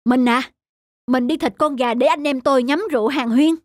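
A man voicing a genial, boastful, newly rich village neighbour in an animated folk tale, expansive and self-satisfied. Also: very high-pitched, a little fast, no echo, clean studio sound. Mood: pleased.

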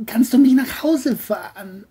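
A man acts out an injured man in a crime audio drama. The voice is pushed high and very breathy and rough, with a slow, soft-edged delivery. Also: heavily acted. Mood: strained, questioning. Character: injured young man asking for a ride, tense.

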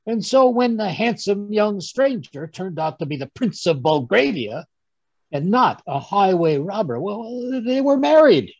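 A man playing a genial, fussy older English gentleman, chattily recounting his adventures in old-time radio drama style, with a husky voice. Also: high-pitched, big pitch swings, measured pace, no echo, full character voice. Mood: flustered, animated. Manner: chatty, chuckling, rambling storyteller with stammered asides. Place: home room on a group video call. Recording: dull, dry video-call audio.

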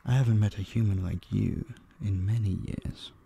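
A man playing an obsessive, possessive vampire captor in an intimate audio drama, hushed, teasing and dominant, menacingly sweet, dipping into whispers. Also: lowish voice, moderate pace, gravelly, a little hiss or hum. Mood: teasing menace. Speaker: voice actor. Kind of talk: intimate roleplay audio drama, addressing the listener.

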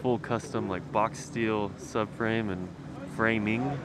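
A man's voice: lowish voice, fairly even intonation, takes it slowly. Enthusiastic man vlogging in outdoor noise. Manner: enthusiastic, informal, slangy. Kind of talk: casual walk-around car commentary. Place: outdoors in a circuit paddock. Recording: noisy outdoor car paddock ambience.

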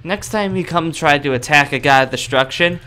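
A young man improvising in-character gameplay roleplay in a cocky, dramatic, excitable manner. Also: a little high, normal speed, clear, resonant tone, faint music behind. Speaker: gaming roleplay video creator.

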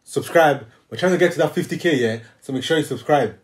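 A man's voice: fairly high pitch, brisk. A young man from Italy hosting a casual reaction video, upbeat and hyped as he keeps urging viewers to subscribe. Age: young adult. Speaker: host. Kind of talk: casual YouTube reaction intro. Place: indoor living room, on a sofa.

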